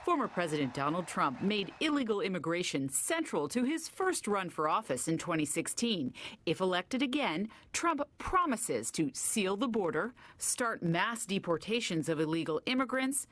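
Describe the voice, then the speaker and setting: Brief: female news reporter, somewhat low pitch, brisk conversational read. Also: very animated, a bit bright.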